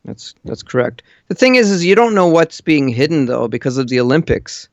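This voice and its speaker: Muffled internet call, guy with a creaky gravelly voice, casual and blunt, just giving his opinions. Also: on the high side, very animated, brisk, no echo. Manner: blunt, matter-of-fact, occasional swearing. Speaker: caller living in Japan. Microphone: online voice call.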